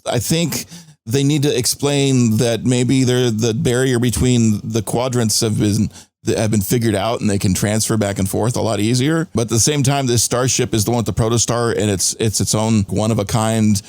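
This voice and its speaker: A man's voice: mid-range voice, fairly even intonation, moderate pace, a little mellow, dry recording. A man chatting casually as a podcast co-host, rambling through his points with some swearing. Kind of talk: casual fan discussion of a TV episode.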